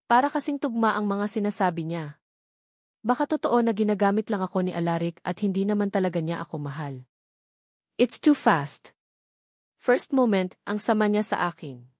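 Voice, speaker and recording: A woman's voice: mid-range voice, darkish tone, no echo. Filipino woman narrating, dry close sound. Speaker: audiobook narrator. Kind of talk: scripted first-person romance novel narration.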